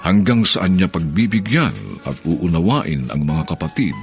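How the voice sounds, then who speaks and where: A man's voice: low voice, lively intonation, measured pace. A man with a Filipino accent giving dramatic scripted narration as a radio drama announcer. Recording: music bed under voice. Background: music.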